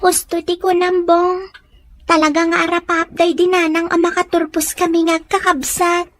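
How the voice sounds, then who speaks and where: A woman's voice: very high-pitched, level tone, normal speed, no room sound. A woman with a Filipino Ilocano accent playing a young student daughter, reluctant but affectionate, in an audio drama. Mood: earnest, grateful.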